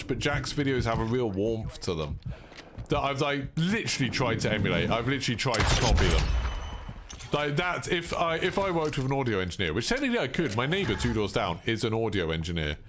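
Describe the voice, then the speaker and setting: Relaxed British male streamer with a deep voice, easygoing chat over loud game gunfire. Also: very noisy. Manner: laid-back, chilled delivery. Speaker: gaming streamer. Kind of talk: casual live-stream chat over gameplay. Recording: gameplay gunfire and clicks behind.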